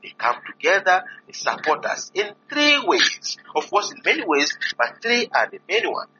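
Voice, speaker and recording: A man from DR Congo, a priest and vocation director, making an earnest, pleading appeal to listeners with many pauses. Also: high voice, huge pitch swings, pushed and bright. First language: not English. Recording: dull lo-fi recording with faint noise.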